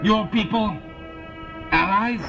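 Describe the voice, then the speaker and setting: Guy doing a vengeful alien warrior, kinda high voice, talks all grand and formal, music blaring under him. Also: takes it slowly. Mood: fierce, scornful. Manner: formal, grandiose, declamatory speech. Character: small wounded male alien soldier, fanatical and vengeful. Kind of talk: audio drama acting. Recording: dull lo-fi audio drama, loud music underneath.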